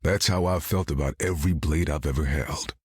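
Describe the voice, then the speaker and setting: An actor playing a gruff, wry half-vampire swordsman in a video game, with a gravelly, terse delivery. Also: fairly quick, no room sound, clean studio sound. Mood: grim. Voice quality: gravelly, very deep.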